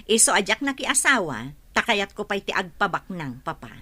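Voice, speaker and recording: A woman's voice: medium pitch, very animated, normal speed, sharp tone. A woman acting a haughty, unforgiving, well-off young woman in a radio drama. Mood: smug, disdainful.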